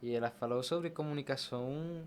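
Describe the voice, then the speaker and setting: A young Brazilian man speaks as a podcast guest in a medium-pitched voice. He recounts personal experiences casually, slipping into quoted dialogue as he goes. There is noticeable background noise around him. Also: a little fast. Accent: Brazilian Portuguese. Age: young adult. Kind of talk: casual personal storytelling in an interview.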